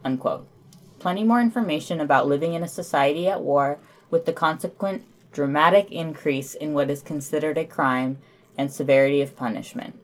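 A woman co-hosting a podcast, reading scripted news summaries with informal asides. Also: low-pitched.

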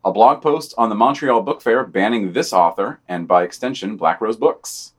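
A man's voice: fairly low pitch, moderate pace, breathy edge. A man co-hosting a podcast, reading scripted news commentary aloud in a wry, sardonic manner. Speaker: podcast co-host.

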